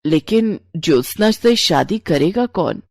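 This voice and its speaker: A woman's voice: fairly low pitch, big pitch swings, measured pace, vocal fry, a little mellow, dry recording, studio quality, exaggerated voice. A woman voicing a caring, practical older mother-in-law in a cartoon moral story. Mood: concerned. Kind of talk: animated moral story dialogue.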